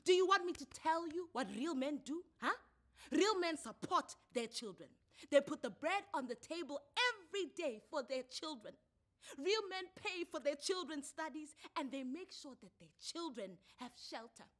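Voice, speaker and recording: A woman's voice: sits high, animated, normal speed, voice pushed up. A woman with a South African accent playing a furious, scornful estranged wife in a story reading. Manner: scolding, declamatory.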